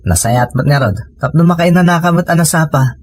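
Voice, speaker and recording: A man's voice: a little high, fairly quick, no echo, studio quality, close to an everyday voice. A man with a Filipino accent playing a tired working husband in an Ilocano audio drama. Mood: weary, earnest. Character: working husband, tired of family money strain.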